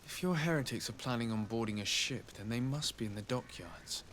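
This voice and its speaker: A man's voice: mid-range voice, a bit bright, a little hiss or hum, lightly acted. Male actor for young aristocratic diplomat, RPG hero. Mid-range male voice, polite and composed, formal phrasing. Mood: measured, inquiring. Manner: measured, courteous, formal. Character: polite young nobleman serving as diplomatic legate. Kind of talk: scripted fantasy video game dialogue.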